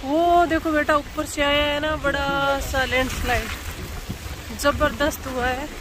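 A woman's voice: sits high, measured pace. Chatty woman vlogging from a noisy car. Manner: excited, chatty, describing the road as she goes. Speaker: travel vlogger. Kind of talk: casual travel vlog commentary. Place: inside a moving car on a mountain road. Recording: noisy car ride with road noise.

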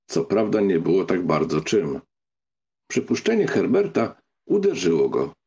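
A man narrating a literary audiobook from a script, with a gravelly, husky, mellow voice. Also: low-pitched, very animated, unhurried, lo-fi sound.

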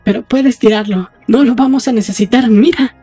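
A woman playing a brisk, proud, rebellious young lover in chatty, conspiratorial audiobook dialogue. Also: fairly high pitch, dark tone, slightly rough, faint music behind. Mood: excited, proud.

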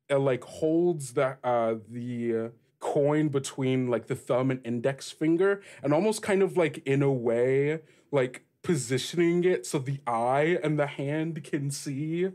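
Slow-paced man running a tabletop game. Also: medium pitch, darkish tone. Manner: casual, halting descriptions. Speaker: game master. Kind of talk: improvised narration of a tabletop game.

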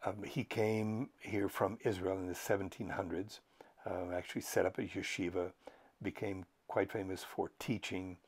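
An older man with a very low, gravelly voice and a husky edge. He lectures to the camera like a professor, measured and thoughtful, pausing often. He is recorded close-up on a lapel mic. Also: fairly even intonation, darkish tone. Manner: measured, thoughtful, frequent pauses and fillers. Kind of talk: unscripted educational talk to camera.

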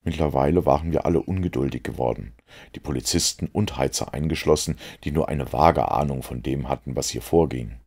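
A man reads a scripted story as an audiobook narrator. His voice sits very low and has a husky grain to it. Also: fairly quick, a little mellow.

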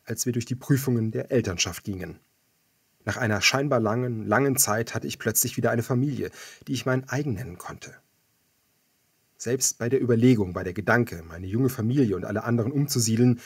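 A man's voice: mid-range voice, breathy edge, dry recording, clean studio sound. A man reading first-person fiction as a scripted audiobook narrator.

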